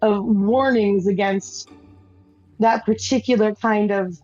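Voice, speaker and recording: Slow, rambling woman streamer, dull dry sound. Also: mid-range voice, fairly even intonation, darkish tone, no echo, soft background music. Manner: rambling storyteller, laughs often, restarts sentences. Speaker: streamer and show host. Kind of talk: casual chat while gaming.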